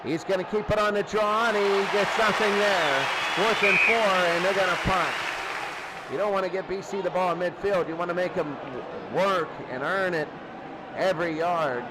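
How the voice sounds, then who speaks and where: A man calling football play by play in short, clipped, excitable phrases. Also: high voice, moderate pace. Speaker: play-by-play sports commentator. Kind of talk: live American football commentary. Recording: noisy crowd bed behind commentary.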